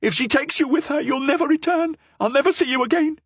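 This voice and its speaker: A man voicing a half-mad, starving woman prisoner who cries out in desperate, shrill pleas. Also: animated, a little slow, husky, no room sound, heavily acted. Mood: frantic pleading.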